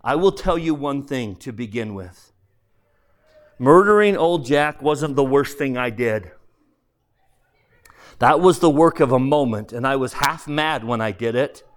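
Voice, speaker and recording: A man voicing a serene, gently amused heavenly spirit in a storybook, speaking with calm candour. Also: mid-range voice, measured pace, pauses often, natural voice. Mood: candid, earnest. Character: serene, mirthful heavenly spirit of a forgiven male murderer. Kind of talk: dramatised audiobook character voice.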